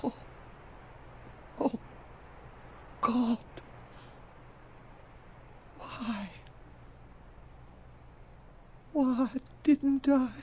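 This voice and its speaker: A man's voice: very high-pitched, drawn-out words, pauses often, full character voice. A man playing a terrified, remorseful middle-aged husband and father in a radio horror drama, urgent and pleading with breathless dread. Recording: dull old radio recording, faint noise.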